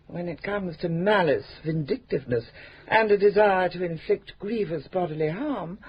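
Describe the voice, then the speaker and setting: An actress playing a brisk, sardonic woman who runs a charity alliance in a radio drama. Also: fairly low pitch, lively intonation, muffled recording, slight background noise, close to an everyday voice. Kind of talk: 1970s-80s radio drama acting.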